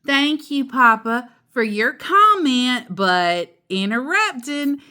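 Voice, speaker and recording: Storybook mother bear, acted by a woman, raised lilting pitch, slow, patient, firm, instructive. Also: big pitch swings, pushed and bright, exaggerated voice. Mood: patient lecturing. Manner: measured, instructive.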